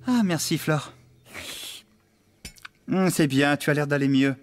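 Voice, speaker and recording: Un comédien qui joue un ouvrier de ferme amical et décontracté, au ton expressif, dans un dessin animé pour enfants. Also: male voice, fairly high pitch, takes it slowly, faint music behind. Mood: pleased. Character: friendly, easygoing adult male farmhand. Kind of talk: children's cartoon dub acting.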